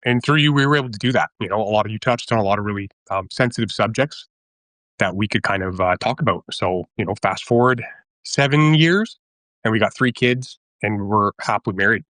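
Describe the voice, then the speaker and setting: A man in his thirties calls in to a show. His voice sits fairly low and he chats casually. The recording is dry and close, with no echo. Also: fairly quick, a bit bright. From Canada. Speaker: caller. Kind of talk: casual chat.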